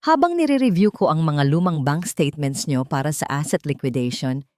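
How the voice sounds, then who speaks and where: A woman with a Filipino accent reading a scripted first-person dramatic story in a deliberate storytelling manner. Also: low voice, expressive, darkish tone, dry recording, studio quality. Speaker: narrator of an audio love-and-revenge drama.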